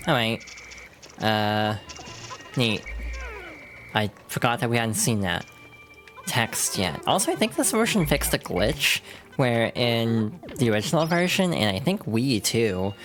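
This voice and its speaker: A game streamer talks through a run in a loose, rambling way, full of little fillers and asides. The voice is hoarse and a bit froggy. Faint game music plays underneath. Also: mid-range voice, a little slow, pauses often. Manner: relaxed, rambling, thinks aloud with many fillers. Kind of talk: casual gameplay commentary.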